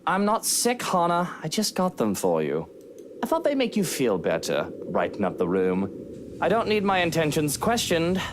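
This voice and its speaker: An actor playing a weary, prickly, proud young gentleman in a visual novel game, speaking formally and testily. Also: fairly high pitch, huge pitch swings, normal speed. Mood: testy, defensive. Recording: noisy background.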